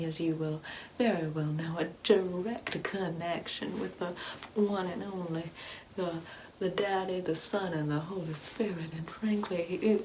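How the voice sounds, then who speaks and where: A righteous, ignorant Karen mother, played by a woman for an ASMR comedy roleplay. She preaches scripture slowly and condescendingly in a slightly low voice, leaning on words and slipping into whispers. There is some noise behind her. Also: a little mellow, lightly acted, rough recording. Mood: condescending, accusing. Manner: condescending, preachy, drawn-out emphatic phrasing with breathy whispering. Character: sanctimonious, scolding, Bible-quoting Karen mother, ignorant and righteous.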